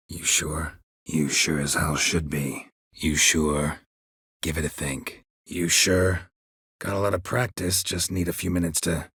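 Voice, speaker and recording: A gruff, world-weary mercenary monster slayer from a fantasy video game. His voice is very deep and heavily husky, almost all gravel. He speaks in terse, sardonic, deadpan phrases, recorded dry with no room sound. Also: dry recording, heavily acted. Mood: deadpan, wry. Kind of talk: video game character dialogue.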